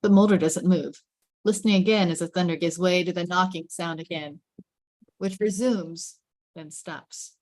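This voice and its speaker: A woman narrates a screenplay at a table read in a slightly low voice. The sound is dry and close, and she phrases the directions with suspense and emphasis. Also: moderate pace, darkish tone, dry recording. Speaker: narrator reading stage directions.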